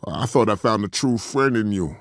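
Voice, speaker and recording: A man voicing an easygoing, plain-spoken barroom buddy in an animated comedy. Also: lowish voice, moderate pace, no echo, clean studio sound, natural voice. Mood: hurt, indignant.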